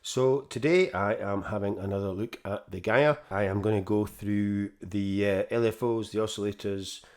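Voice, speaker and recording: A man with a croaky voice from a throat infection, hosting a casual synth tutorial at his keyboard in a home studio, talking haltingly with lots of fillers and restarts. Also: fairly low pitch, steady tone. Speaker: synth demo host.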